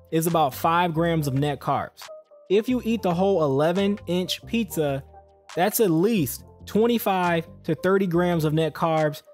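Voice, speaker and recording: A man hosting a fitness channel, reading a scripted countdown voice-over in an upbeat, direct way and speaking straight to the viewer. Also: fairly high pitch. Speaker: fitness and keto channel host. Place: voice-over over slides, not recorded on screen.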